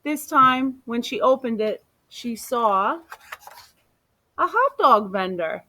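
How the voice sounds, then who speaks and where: A woman reading a children's picture book aloud in an animated, deliberate storytelling manner. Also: a little high.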